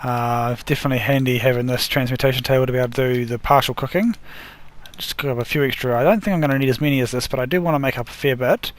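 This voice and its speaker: A man's voice: mid-range voice, fast. A man chats his way through a gameplay session, narrating each crafting step as he goes. He trips over words and corrects himself along the way. His voice sounds a bit worn, as if he is losing it. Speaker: gaming video host. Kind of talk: casual gameplay commentary.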